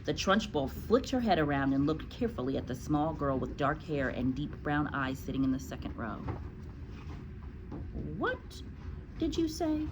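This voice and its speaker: A woman's voice: rumbling low, fairly quick, hardly any pauses, noisy background. A woman teacher reading a storybook aloud to a class, with explanatory asides.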